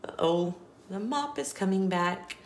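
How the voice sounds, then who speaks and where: A woman reading a picture book aloud to young children, with expressive emphasis and small asides to the listener. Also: medium pitch, measured pace, a little hiss or hum. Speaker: children's storybook reader.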